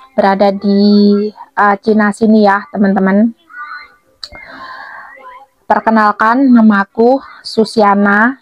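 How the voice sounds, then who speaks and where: A woman's voice: medium pitch, no room sound. A woman vlogger talks casually to her viewers. She takes her time over each phrase and has a cold with a cough and sore throat. The sound is dry and close, but somewhat dull and lo-fi. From Blitar, East Java, Indonesia.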